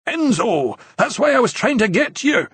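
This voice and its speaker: Audiobook guy doing scottish lawyer friend, stressed out, raspy edge, pushy. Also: very high voice, sing-song, normal speed, a bit bright, dry recording, voice pushed up, exaggerated voice. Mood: tense. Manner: urgent, exasperated. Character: stressed Scottish lawyer, middle-aged man.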